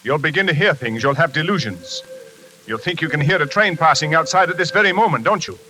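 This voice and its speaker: An actor playing a composed, authoritative psychiatrist in a 1940s radio drama, speaking in a measured, persuasive way. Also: male voice, fairly high pitch, lively intonation, sharp tone, poor audio. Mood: tense, persuasive. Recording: hissy old 1940s radio recording.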